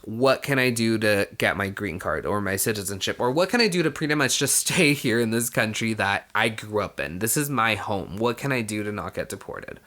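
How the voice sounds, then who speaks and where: A man's voice: fairly low pitch, expressive, moderate pace, few pauses, pushed and bright. A young man, born in Mexico, telling his own story in a casual, conversational way, peppering it with "you know" and the odd swear word. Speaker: vlogger. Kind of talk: casual personal storytelling to camera.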